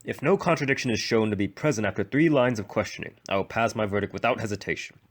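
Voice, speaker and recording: A man's voice: on the low side, quick pace, lightly acted. Man as a firm, formal judge. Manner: formal, decisive. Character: authoritative, firm male animal judge. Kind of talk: audio drama acting.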